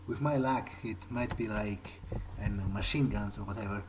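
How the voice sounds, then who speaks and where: A man's voice: on the low side, moderate pace, background noise. A man improvising in character as he plays a video game, speaking in a hesitant, musing, understated way. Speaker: let's player.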